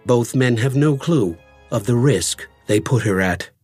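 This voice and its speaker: A man narrating an audio drama from a script in a measured, formal storytelling manner. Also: medium pitch, expressive, a little mellow, breathy edge, faint music behind.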